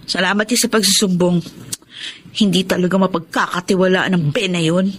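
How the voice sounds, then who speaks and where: An actress playing a bitter, disappointed woman in a radio drama, speaking in a weary, deliberate, dramatic way. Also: on the low side, steady tone, a little hiss or hum, lightly acted. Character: disappointed woman on the phone, bitter about an unfaithful man. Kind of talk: Tagalog radio drama acting. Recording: radio drama with music bed. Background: dramatic music.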